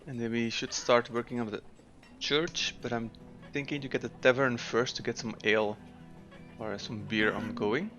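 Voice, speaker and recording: A man narrates a city-building game in a mid-pitched, everyday voice, thinking aloud at an unhurried pace with plenty of ums and uhs. Soft game music plays faintly beneath his commentary. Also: steady tone, highs cut off. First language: Dutch. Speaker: gameplay commentator. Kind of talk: casual let's-play commentary.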